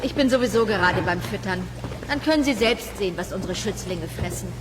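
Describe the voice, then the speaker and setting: A woman playing a brisk, patient aquarium keeper in a children's audio play, dry and instructive. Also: mid-range voice, animated, natural voice. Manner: dry, matter-of-fact, instructive. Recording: clear background ambience and noise.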